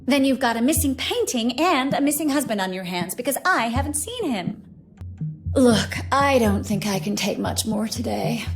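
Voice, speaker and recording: A woman playing a proud, overdramatic stage actress with a sharp tongue in a mystery game. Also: on the high side, animated, moderate pace, faint music behind. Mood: curt, peeved. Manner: theatrical, overdramatic. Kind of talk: scripted game dialogue.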